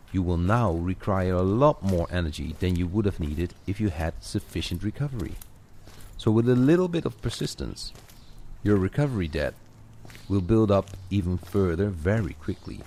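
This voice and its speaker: Low-pitched man, coaching on a walk. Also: darkish tone. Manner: informal, addresses the listener directly. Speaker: coach guiding a walking audio lesson. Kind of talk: scripted conversational audio-walk narration. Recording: outdoor ambience noise with clicks. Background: added outdoor walking ambience, birds.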